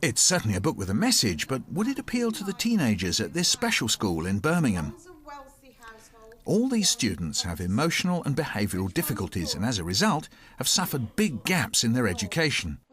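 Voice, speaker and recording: A man presenting scripted documentary narration with an expressive, dramatic storytelling delivery. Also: mid-range voice, a little fast. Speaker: programme host.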